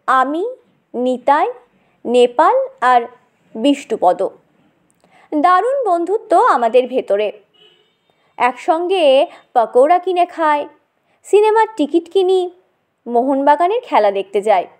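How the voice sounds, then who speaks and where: Female comic audiobook narrator: very lively intonation, unhurried delivery, theatrical and chatty. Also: sits high. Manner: dramatic, conversational, addresses listener directly. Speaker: storyteller.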